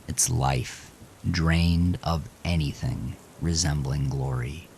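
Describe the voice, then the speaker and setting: A man's voice: extremely low pitch, takes it slowly, vocal fry, darkish tone, a little hiss or hum. A man narrating a scripted horror story with intense, dramatic delivery that sinks into whispers.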